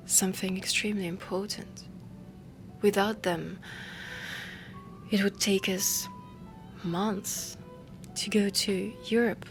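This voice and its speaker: A woman playing a refined, ambitious heiress inventor in a steampunk audio roleplay, speaking softly and deliberately with a French accent, often close to a whisper. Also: mid-range voice, vocal fry. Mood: earnest, proud. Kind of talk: soft intimate audio roleplay. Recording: music under the voice. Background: background music.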